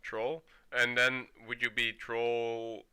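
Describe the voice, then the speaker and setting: Chatty Dutch man with a bright, clear voice. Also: mid-range voice, takes it slowly. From Netherlands. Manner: relaxed, chatty, laughs often. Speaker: streamer. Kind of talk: casual gameplay commentary.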